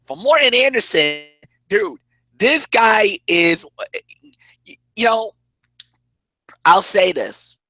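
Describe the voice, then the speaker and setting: A man with a hoarse, raspy voice, co-hosting casual sports talk and rambling excitedly with restarts. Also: sits high, takes it slowly, long pauses, no echo. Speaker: co-host.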